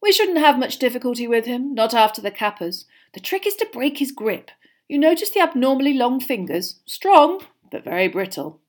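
A woman voicing a thoughtful, kindly male teacher in a storybook, speaking gently and politely. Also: sits high, very animated, moderate pace, voice pushed up.

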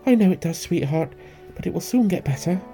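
Tender worried mama fox, done by a guy, high pitched, storybook character. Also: animated, brisk, warm tone, faint music behind. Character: tender, anxious mother fox.

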